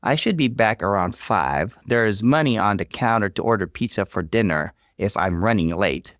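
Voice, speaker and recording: A man voicing an overwhelmed mother, a stiff and contrite sister, in an earnest, measured way, for a story. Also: fairly low pitch, lively intonation, fairly bright tone, no echo, studio quality. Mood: stiff, grudging.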